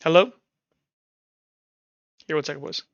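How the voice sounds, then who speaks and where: A man running a tabletop game over online voice chat as game master, narrating combat and explaining rules in a halting way, often restarting his phrases. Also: a little high, vocal fry, no echo, muffled recording. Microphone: voice call over Discord.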